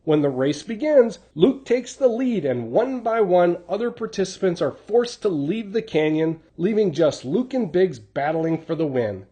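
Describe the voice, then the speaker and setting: A man's voice: high-pitched, expressive, a little slow, hardly any pauses, mellow, slightly rough. A man reading scripted narration, recapping stories in the role of a channel host.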